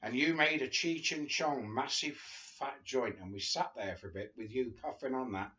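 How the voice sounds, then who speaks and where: A man's voice: medium pitch, moderate pace, pushed and bright, highs cut off. An older man reading a friend's memoir aloud at home, chatty, with chuckling asides between passages. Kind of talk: casual book reading to camera. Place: living room sofa, indoors.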